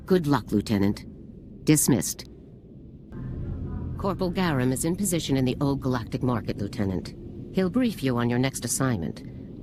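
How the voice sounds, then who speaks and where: An actress playing a stern, grave army general who gives clipped, authoritative briefings in a video game. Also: female voice, extremely low pitch, background noise. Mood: firm, commanding. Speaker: military commander giving briefing.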